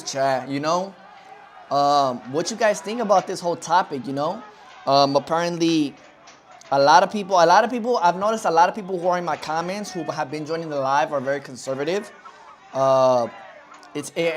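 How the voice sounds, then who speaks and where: A man's voice: on the high side, a little hiss or hum. Rambling male livestream host. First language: Spanish. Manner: loose and rambling, keeps addressing the chat, lots of fillers. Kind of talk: casual livestream chat.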